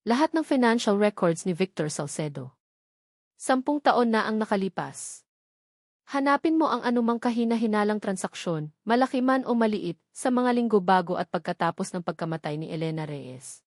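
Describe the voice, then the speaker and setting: A woman's voice: medium pitch, lively intonation, normal speed, no room sound, studio quality. A woman voicing a desperate, determined rich young businessman in a romance audio drama, urgent and earnest.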